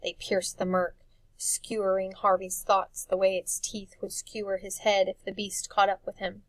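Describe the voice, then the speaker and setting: A woman reading a dark fantasy story for children as expressive scripted narration. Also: medium pitch, normal speed, no echo. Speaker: audiobook narrator.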